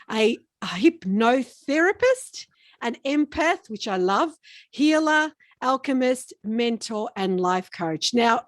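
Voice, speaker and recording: Woman host, from Australia, slightly high voice, dry close sound, interview intros with some fillers. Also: no echo. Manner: warm welcoming introductions with some hesitant fillers. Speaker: podcast host. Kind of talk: hosting an interview.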